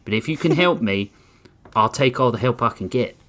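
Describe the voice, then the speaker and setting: A man reads as a blunt small-town police chief, plainspoken and folksy. The voice stays close to his own, a touch lower. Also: animated, normal speed, a bit bright, natural voice.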